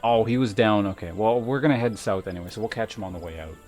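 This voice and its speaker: A man's voice: fairly low pitch, quick pace, a little mellow, faint music behind. A man doing casual gameplay commentary as a streamer, muttering offhandedly to himself and his chat. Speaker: game streamer.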